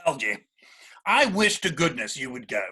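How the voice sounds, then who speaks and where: A man acting an earnest, exasperated young gentleman in a Victorian stage comedy, speaking formally and with indignant emphasis. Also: mid-range voice, big pitch swings, moderate pace, husky, full character voice.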